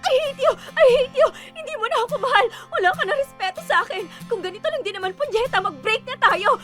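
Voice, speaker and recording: An actress playing a volatile, demanding young girlfriend in a radio drama, shrill and accusing, quick to scream. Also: expressive, fairly bright tone, soft background music. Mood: hysterical.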